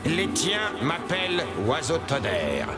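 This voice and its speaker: Lively-pitched, dignified condor. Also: male voice, takes it slowly, loud music behind the voice, heavily acted. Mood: dignified, assured. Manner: courteous, assured, explanatory. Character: calm, dignified male giant condor. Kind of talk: cartoon voice acting.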